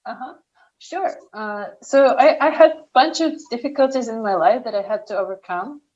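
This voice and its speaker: Young woman w eastern european accent, talks slow n careful, kinda muffled lo-fi audio. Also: on the high side, darkish tone. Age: young adult. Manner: speaks slowly and carefully, with frequent restarts. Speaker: startup founder, interview guest. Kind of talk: interview answers.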